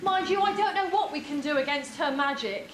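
A woman's voice: high voice, brisk, natural voice. A woman playing a practical, determined heroine in an amateur pantomime. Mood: brisk, determined. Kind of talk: amateur pantomime stage acting. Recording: echoey hall, audience, background noise.

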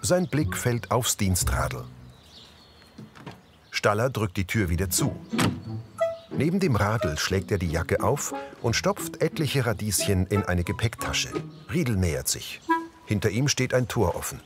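A male narrator describes the action of a screen drama in scripted present-tense sentences. His voice is low and moves with lively intonation, with music behind it. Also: measured pace.

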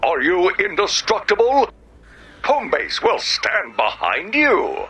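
An actor playing an eager robot who talks like a grandiose, theatrical movie-trailer announcer in a game. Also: male voice, high-pitched, wildly swooping pitch, slow, bright, forceful tone, slightly rough, full character voice. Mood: booming sales pitch.

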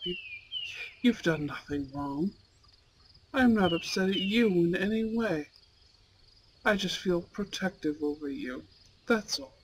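A performer voicing a possessive, suave young man in an intimate ASMR romance roleplay, speaking in formal, deliberate phrases. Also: medium pitch, slight background noise, rough recording. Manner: slow, formal, deliberate phrasing, velvety menace. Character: possessive, suave young man, elite school bad boy boyfriend. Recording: clear background insect and nature ambience. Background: crickets and outdoor nature sounds.

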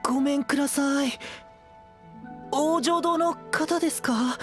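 Timid, frightened young male townsman for an anime game; high, polite, anxious. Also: fairly even intonation, slow pace, soft background music. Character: timid, frightened young man pleading for help.

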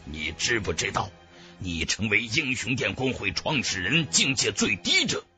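An actor playing a domineering, scornful young martial-arts clan leader in an audiobook drama, with a raspy, forceful, menacing delivery. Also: male voice, lowish voice, very animated, normal speed, soft background music, heavily acted. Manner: commanding, menacing.

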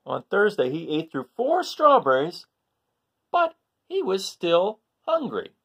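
A middle-aged man hosts a children's read-aloud with a slightly rough, breathy voice. His pitch swings widely as he leans on key words. He delivers the story in short, deliberate phrases. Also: wildly swooping pitch, a bit bright. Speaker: host reading picture books aloud. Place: small room at home, indoors.

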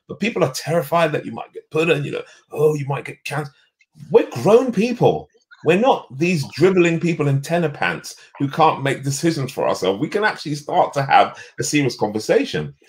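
A middle-aged man with a deep, resonant voice, talking emphatically as a guest on a video call from a home office. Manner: animated, emphatic storyteller. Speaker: guest, leadership coach.

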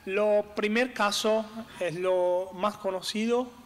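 Brazilian man speaking halting second-language Spanish. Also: sits high, steady tone, slight background noise. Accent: Brazilian Portuguese. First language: Portuguese. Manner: halting, searches for words with many fillers. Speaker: conference speaker. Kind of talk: conference talk. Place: large hall with brick walls, on stage at a table. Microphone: desk microphone on stand. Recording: long hall reverb.

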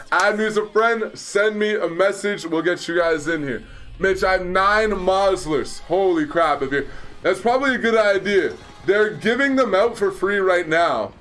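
A man's voice: high voice, a bit bright. A young man in his twenties hosting a casual gaming livestream, chatting loosely and answering his viewers, with frequent laughs, on a headset mic in a small room. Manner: chatty, reads and answers chat, laughs often. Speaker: livestream host.

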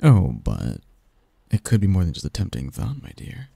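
Man acting as a seductive demon lover, very dark gravelly voice, slow teasing murmur, softly possessive, ASMR roleplay. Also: low voice, huge pitch swings, no room sound, studio quality, exaggerated voice. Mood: seductive, soothing. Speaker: roleplay audio performer. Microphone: close, dry microphone.

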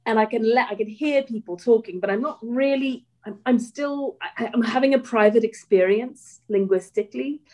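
A woman's voice: on the high side, moderate pace, few pauses, a little mellow. A woman writer chatting on a video call panel, rambling and hesitant, often trailing off and starting over. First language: English. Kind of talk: casual panel talk.

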